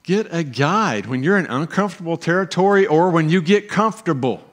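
A middle-aged man preaching a sermon as pastor on a church stage, animated, with pauses and emphatic rises. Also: on the high side.

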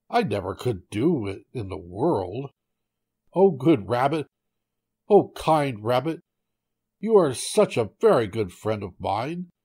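A man voicing a big savage tiger in a storybook, greedy yet easily frightened, pleading and flattering in drawn-out phrases. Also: medium pitch, slightly rough, exaggerated voice. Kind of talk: storybook character voice.

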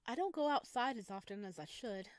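A woman's voice: fairly high pitch, lively intonation, brisk, no echo, clean studio sound, natural voice. A woman voicing a shy, awkward wanderer in a visual novel, with a hesitant, nervous manner. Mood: embarrassed. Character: shy, awkward, easily awed lost wanderer.

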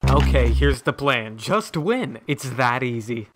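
A man's voice: mid-range voice, expressive, slow pace. A man giving scripted voice-pack commentary as a game announcer. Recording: loud game noise, clicks and shattering. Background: game sound effects.

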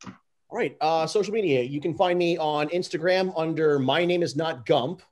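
A man with a slightly high voice chats as a guest. He is casual and halting, often restarting with repeated words. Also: darkish tone. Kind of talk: casual chat.

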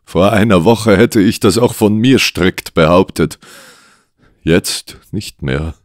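Man playing a desperate, stammering sick inspector, pitched up. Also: no room sound, clean studio sound. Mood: grave. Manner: urgent, halting, stammering. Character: desperate, sick police inspector convinced he is cursed.

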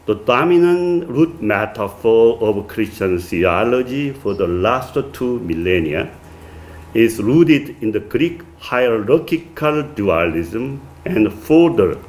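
A middle-aged man with a strong Korean accent giving an academic lecture at a podium, reading from a manuscript with many halting "uh" fillers. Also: lowish voice, darkish tone, slight background noise. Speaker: visiting lecturer. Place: wood-paneled reception room, indoors.

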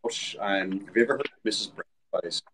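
A man's voice: on the low side, brisk, a bit bright, a little hiss or hum, low-quality recording. A man, a voice actor, chatting casually on a video call from a home room and swearing now and then. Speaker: voice actor guest. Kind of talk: casual chat. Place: home room with shelves, indoors.

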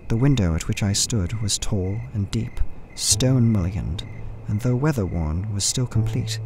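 Man as nervous young solicitor telling his story, lowish voice, radio drama with music behind. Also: lightly acted. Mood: tense wonder. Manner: formal, measured, literary. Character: anxious young English solicitor writing his journal. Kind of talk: dramatic first-person narration, radio play.

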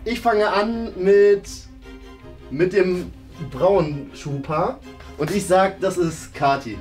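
A man's voice: high-pitched, slow pace, a little mellow, soft background music. A man in his thirties with a German accent, casually hosting and commenting on a guessing game in a room. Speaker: host and contestant of a guessing game show. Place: medium-sized indoor room.

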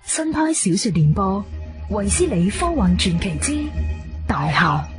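A woman's voice: mid-range voice, very animated, unhurried, over music. A woman with a Cantonese accent reading a scripted, dramatic announcement for a radio drama. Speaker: programme announcer.

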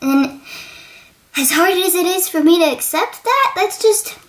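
Woman as a patient, high-voiced stable hand. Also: lively intonation, a little slow.

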